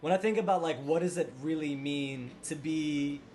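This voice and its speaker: A man's voice: a little high, moderate pace, darkish tone, slight background noise. A young man of Guyanese descent hosting a casual podcast chat, loose and full of fillers, swearing casually. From Guyanese family, raised abroad. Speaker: podcast host.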